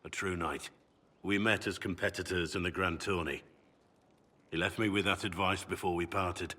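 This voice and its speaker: A man playing a brooding, honourable middle-aged warrior in a video game, with measured, earnest delivery. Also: low-pitched, fairly even intonation, bright, forceful tone. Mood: earnest, reflective. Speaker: video game character voice actor. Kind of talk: dramatic game dialogue.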